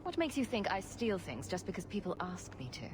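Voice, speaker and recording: A woman's voice: medium pitch, very animated, a little fast, noisy background, lightly acted. An actress voicing a witty, sarcastic female rogue hero in a video game, dry and playful in delivery. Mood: wry. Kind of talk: video game character acting.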